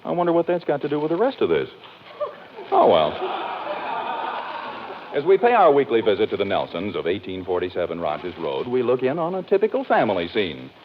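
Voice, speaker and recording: A man's voice: lowish voice, huge pitch swings, a little fast, pauses often, background noise. A man announcing and narrating in a polished, theatrical 1940s radio style, reading from a script. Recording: dull old radio recording. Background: music.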